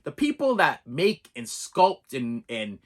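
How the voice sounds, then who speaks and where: A man's voice: medium pitch, huge pitch swings, slow pace. A middle-aged man with a Boston accent, talking to camera in a rambling, chatty review monologue, often repeating and restarting words and laughing. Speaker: movie review vlogger.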